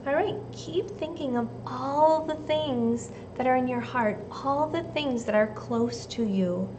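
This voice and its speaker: A woman from the United States reading a storybook aloud and giving children simple, deliberate instructions like a teacher. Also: sits high, background noise. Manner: deliberate, simple phrasing addressed to young children.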